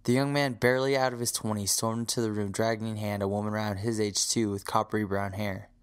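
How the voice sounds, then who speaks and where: A man's voice: on the low side, flat delivery, fast talker, clear, resonant tone, no echo, clean studio sound. A man reading scripted narration for an audio drama.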